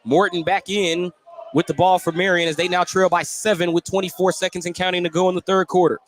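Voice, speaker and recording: A man calling live high school basketball on radio, stretching out his phrases on big plays. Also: flat delivery, brisk, low-quality recording. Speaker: play-by-play sports announcer.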